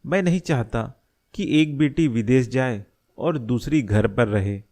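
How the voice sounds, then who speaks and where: A man acts as a worried middle-aged cartoon father. He speaks slowly at a medium male pitch, laying out each thought earnestly and deliberately. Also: darkish tone, close to an everyday voice. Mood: earnest, persuading. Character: worried middle-aged father scheming to protect his family. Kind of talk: Hindi animated moral story dialogue.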